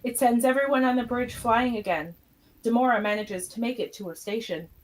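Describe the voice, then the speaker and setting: A woman's voice: fairly high pitch, brisk, heavy background noise. A woman reading scripted stage directions as the narrator of an amateur radio play.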